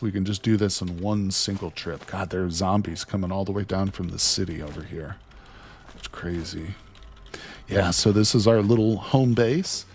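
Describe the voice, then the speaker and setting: A man streaming a video game, chatting excitedly to his viewers as he plays, losing his voice near the end of a long session. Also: fairly low pitch, slight background noise. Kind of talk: casual gameplay commentary.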